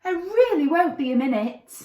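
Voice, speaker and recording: A woman's voice: high voice, very animated, normal speed, mellow, roomy sound, pitched above the performer's own voice. A woman voicing a kindly, apologetic mummy at her child's bedtime in a storybook. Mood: apologetic, affectionate.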